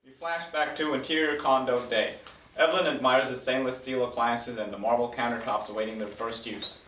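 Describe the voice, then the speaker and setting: A man's voice: mid-range voice, fairly even intonation, a little fast, a bit bright, slight background noise. A man reading stage directions as the narrator in a scripted table read. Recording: roomy recording, mic at a distance.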